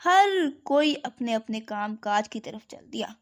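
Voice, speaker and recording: Woman reading a kids story as narrator, high voice, takes it slow. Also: animated. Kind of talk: scripted children's story narration.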